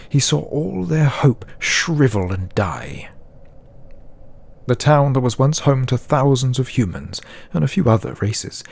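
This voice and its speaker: A man's voice: mid-range voice, vocal fry, darkish tone, breathy edge. A man narrating a scripted fantasy audiobook as a dramatic storyteller.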